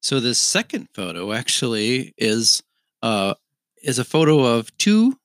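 A man's voice: mid-range voice, sing-song, unhurried, a bit bright, dry recording, studio quality. A middle-aged man co-hosting a podcast, answering in brief, matter-of-fact remarks. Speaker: podcast co-host. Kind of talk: casual chat.

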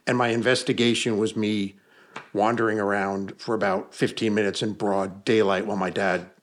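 A man's voice: fairly low pitch, little pitch movement, moderate pace. A middle-aged man from the Hudson Valley of New York, a paranormal investigator and author, telling stories in a chatty, good-humored way with frequent restarts. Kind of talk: casual interview storytelling. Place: basement room with bookshelves.

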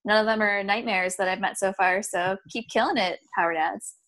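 A woman co-hosting a podcast, explaining things quickly in a casual, upbeat way. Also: medium pitch, fairly even intonation, a bit bright.

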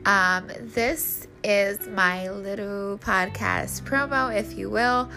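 Female podcast host, congested nasal sound, bright tone, unhurried pace, informal slangy delivery addressed to listeners. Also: medium pitch, gravelly, faint music behind, low-quality recording. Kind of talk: casual solo podcast promo chat.

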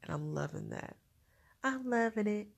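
A woman's voice: medium pitch, expressive, moderate pace, gravelly. A woman with a nasal, stuffed-up voice talking in a casual diary vlog, chatty and laughing often. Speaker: vlogger.

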